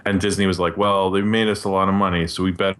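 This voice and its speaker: Male panelist, low register, opinionated and conversational podcast delivery. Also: little pitch movement, fast, a bit bright. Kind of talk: casual opinionated podcast chat.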